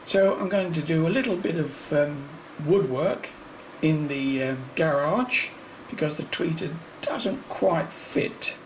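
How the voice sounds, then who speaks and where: A man narrates an informal hands-on demonstration at a slow pace. His voice is creaky and mid-pitched, and his manner is matter-of-fact and a little hesitant. There is faint noise behind him. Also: animated, rough recording. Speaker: hobbyist repairer presenting own video.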